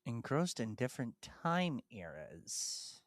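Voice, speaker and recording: A woman co-hosts a casual chat, thinking aloud and poking fun at herself as she guesses. Her pitch swings widely, though her pace stays slow. The sound is dry and close. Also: no echo. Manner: animated, self-deprecating, thinks aloud and repeats clues. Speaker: podcast co-host.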